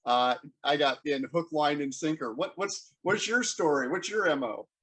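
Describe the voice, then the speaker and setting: An American man hosting a casual interview, asking rambling, halting questions in a conversational way. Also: on the high side, fairly bright tone, breathy edge. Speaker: interviewer and host, community theater member.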